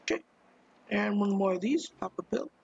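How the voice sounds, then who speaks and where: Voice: medium pitch, expressive, normal speed, gravelly, darkish tone, slightly rough, muffled recording. A gaming streamer chatting casually and jokingly while playing, now and then singing a few words. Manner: playful, jokey, sings snatches. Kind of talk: casual game commentary.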